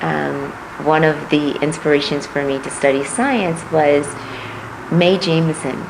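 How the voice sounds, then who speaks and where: Woman, middle-aged, deep voice, political candidate, reflective, pausing, faint background hiss. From Puerto Rico, raised in Missouri. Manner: measured and reflective, frequent "um" pauses. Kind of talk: interview answers.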